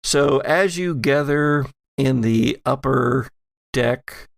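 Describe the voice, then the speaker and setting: A man narrating a tabletop audio drama as game master, in a measured, descriptive way. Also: medium pitch, gravelly, no room sound, studio quality.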